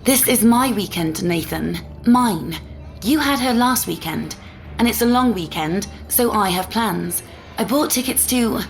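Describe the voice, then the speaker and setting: A woman's voice: medium pitch, lively intonation, takes it slowly, gravelly, few pauses, faint music behind. Fiery, snappy mother acted by a woman. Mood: angry. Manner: emphatic, snappy, sarcastic. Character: fiery, exasperated young mother arguing with ex.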